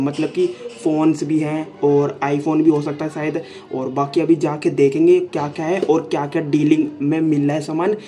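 A man's voice: fairly high pitch, warm tone. A young man vlogging casually to his phone camera in a small room, talking in a chatty way and repeating the same filler words over and over. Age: young adult. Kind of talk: casual vlog talk to camera. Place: small room indoors, then a seller's home.

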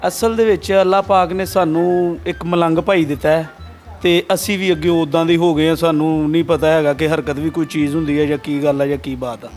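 Punjabi guy from pakistan, slightly high voice, humble polite answers in an interview. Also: fairly even intonation, moderate pace, a little mellow, soft background music. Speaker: comedian's sidekick, interview guest. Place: small indoor studio, on a sofa. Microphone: handheld interview mic.

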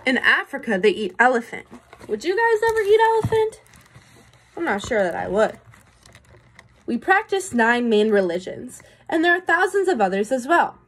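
Young female teacher, picture-book read-aloud, very varied intonation, a little husky, speaks directly to young listeners. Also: high voice, sing-song, moderate pace, a bit bright. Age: young adult. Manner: questioning, explanatory, addressing young listeners. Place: small room indoors.

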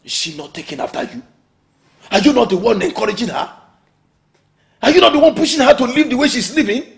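A man's voice: fairly high pitch, big pitch swings, moderate pace, a bit bright. A man playing a domineering, hot-tempered middle-aged Nigerian family chief in a melodramatic film, given to indignant questioning. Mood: angry, scolding. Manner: indignant repeated rhetorical questions.

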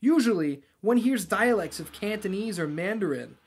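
A man's voice: high voice, lively intonation, moderate pace, a little mellow, a little hiss or hum, pitched above the performer's own voice. A man voicing an earnest, polite, scholarly man of forty with an eager manner in a story reading. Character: earnest, scholarly forty-year-old man, former accountant.

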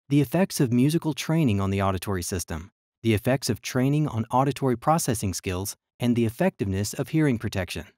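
Male narrator, mid-pitched, measured scripted reading, dry close mic. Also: a little mellow, no echo. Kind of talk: scripted virtual tour narration.

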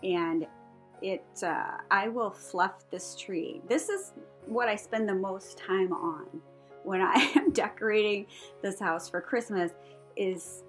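A woman's voice: mid-range voice, slow pace. A woman in her fifties giving a casual, rambling, chatty voice-over for a home vlog, prone to chuckling. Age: 50s. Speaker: craft vlogger. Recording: music behind the voice. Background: music.

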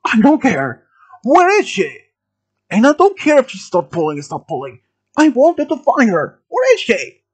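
An enraged teenage boy as a storyteller acts him out in a dramatic tale. The voice lifts high and stretches out each vengeful demand, swinging sharply in pitch. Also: wildly swooping pitch, a little mellow, slightly rough. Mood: furious. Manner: screaming, drawn-out demands.

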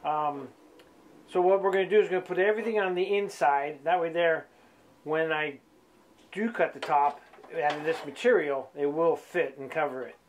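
A middle-aged man walks through a welding project in his home workshop, speaking in a relaxed, plain way with ums and restarts. His voice sits a little high for a man. A light hiss runs under the recording. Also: normal speed. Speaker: hobby welder teaching a project. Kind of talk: casual workshop tutorial.

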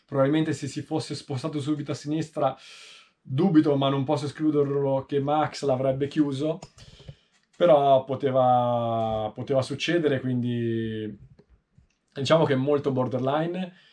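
A man in his thirties with a mid-pitched voice talks through race analysis informally. He explains things in a measured way and often pauses partway through a sentence. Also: fairly even intonation, a little mellow. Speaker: motorsport video host. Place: small indoor room, seated in a sim racing seat.

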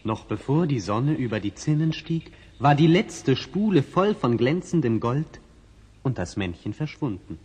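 A man's voice: mid-range voice, very animated, unhurried, a little mellow, breathy edge. Reverberant male fairy-tale narrator. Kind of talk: scripted fairy-tale narration, 1960s audio play. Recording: long reverb on old record.

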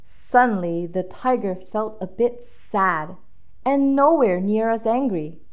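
A woman's voice: mid-range voice, slow pace. A woman reading a children's storybook aloud with dramatic emphasis, drawing out key words for young listeners.

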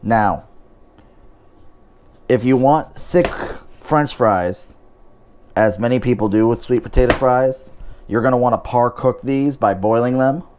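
A man's voice: mid-range voice, a little slow, pauses often, darkish tone, slight background noise. A man hosting a home cooking show, talking through each step in a casual way with dry, wry asides.

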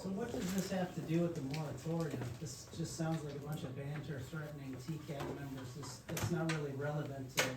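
Man, slightly high pitch, challenging official, public hearing, heavy echo, distant mic. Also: brisk, hardly any pauses. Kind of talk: challenging a speaker at a public meeting. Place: public meeting room. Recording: very echoey room, distant, loud crowd.

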